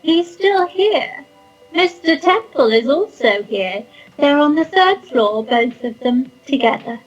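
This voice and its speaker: A woman's voice: high-pitched, expressive, dull audio, soft background music, voice pushed up. A woman voicing a sweet, fussy older landlady with a cooing, genteel manner and an eerily cozy air. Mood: sweet, eerie. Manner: cooing, genteel, drawn-out exclamations.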